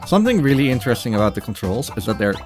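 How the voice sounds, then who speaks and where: A man's voice: medium pitch, big pitch swings, very fast, music in the background. A man giving casual live speedrun commentary, explaining things in a hesitant, dryly humorous way.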